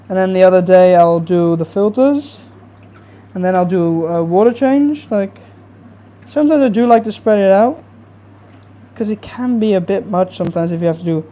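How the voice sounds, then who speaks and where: A young adult fishkeeping streamer chatting casually and rambling with fillers during a livestream from a home fish room, with a soft, relaxing voice. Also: medium pitch. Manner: easygoing, rambling, many fillers. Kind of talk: casual livestream chat while cleaning tanks.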